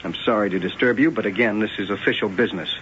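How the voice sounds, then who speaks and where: A man acting in a radio drama as a brisk, stern police lieutenant with a formal, authoritative manner. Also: lowish voice, a bit bright, lightly acted. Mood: officious, taunting. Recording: muffled, slightly noisy 1980 radio broadcast.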